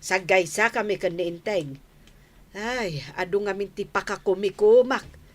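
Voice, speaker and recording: Actress playing a busy housewife, medium pitch, apologetic yet self-defending, expressive, radio comedy drama. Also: female voice, measured pace, natural voice. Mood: apologetic, friendly.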